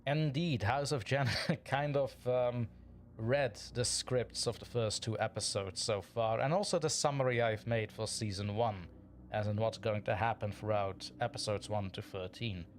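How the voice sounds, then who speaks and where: A man's voice: on the low side, moderate pace, a little hiss or hum. Chatty man hosting a livestream. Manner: chatty and playful, often chuckling. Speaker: livestream host reading chat. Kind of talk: casual livestream chat.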